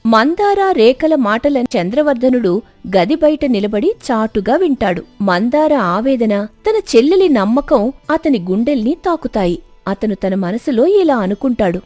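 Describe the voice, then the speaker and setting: A woman narrating a scripted moral story dramatically, with expressive, emphatic delivery. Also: fairly high pitch, unhurried, no echo, soft background music.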